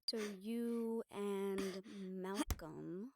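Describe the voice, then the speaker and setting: A woman's voice: medium pitch, very slow, creaky, noisy background. A woman playing a teasing, supportive partner of a vampire in an audio roleplay, in a wry, conversational way. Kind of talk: dramatic audio roleplay acting.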